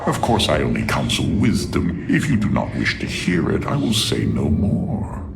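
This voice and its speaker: A man's voice: a bass voice, huge pitch swings, normal speed, creaky, music in the background, heavily acted. A man voicing a ruthless, scornful alien warlord in a game cutscene, sardonic, haughty and menacing. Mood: mock deference. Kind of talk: dramatic scripted game cutscene acting.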